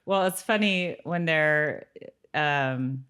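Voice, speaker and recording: A woman's voice: sits low, takes it slowly, fairly bright tone, clean tone. A woman co-hosting a podcast, chatting casually about a TV show. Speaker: podcast co-host. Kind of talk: casual chat about a TV episode.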